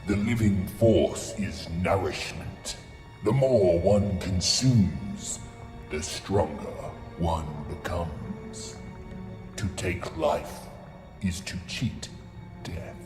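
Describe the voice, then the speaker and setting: Ancient, very slow Sith master. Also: male voice, sits low. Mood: ominous, commanding. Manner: slow, ominous, commanding. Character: ancient grumpy alien Sith master, menacing, scornful. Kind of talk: audio drama acting. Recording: echoey hall, loud music underneath.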